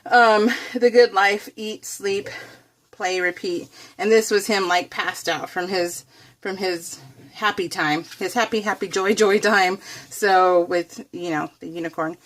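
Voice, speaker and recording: A woman's voice: mid-range voice, fairly even intonation, measured pace. An American woman chatting casually to viewers as a craft vlogger, giggly and often laughing through her words, wandering off on tangents. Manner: self-deprecating, wanders off topic. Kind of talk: casual, rambling chat to viewers.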